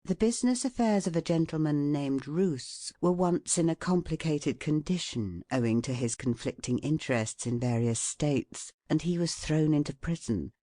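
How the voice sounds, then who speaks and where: An audiobook narrator reading scripted prose in a measured, formal and deliberate way. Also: medium pitch, animated, dark tone, no room sound, clean studio sound.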